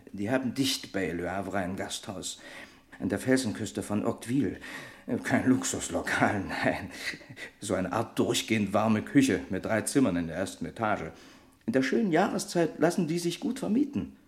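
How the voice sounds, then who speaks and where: A man's voice: on the low side, animated, normal speed, close to an everyday voice. An actor playing a hearty, persuasive trucker friend in a German radio crime drama, jovial and teasing, drawing out his announcements. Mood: persuasive, earnest.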